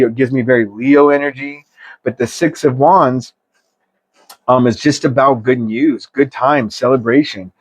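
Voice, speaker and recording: A man with a mid-pitched voice gives a chatty tarot reading, recorded dry and close. He talks straight to viewers like an emphatic pep talk, loose and slangy, with the odd mild swear. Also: no room sound, rough recording. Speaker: tarot reader.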